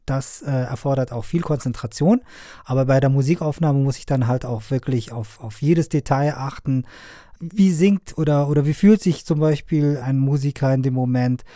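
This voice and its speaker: A middle-aged man from Afghanistan speaks in an unhurried, conversational way. He often pauses and hesitates with fillers. His tone is mellow and his voice sounds dry and close, as if recorded in a studio. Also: mid-range voice, no echo. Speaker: sound engineer and media trainer. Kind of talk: conversational interview answers.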